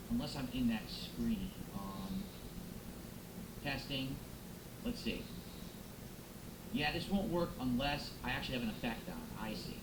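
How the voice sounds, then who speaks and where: A man live-streaming on a close microphone, chatting casually with viewers, thanking them and musing aloud as he goes. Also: on the high side. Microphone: close XLR microphone. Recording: echoey room with background noise.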